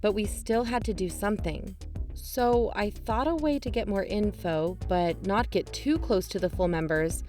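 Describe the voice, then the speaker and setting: An actress playing an earnest young amateur investigator, co-hosting a scripted podcast drama. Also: female voice, mid-range voice, moderate pace, a little mellow, close to an everyday voice. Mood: earnest, measured. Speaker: podcast co-host. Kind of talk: scripted audio drama narration and dialogue. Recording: music under the voice.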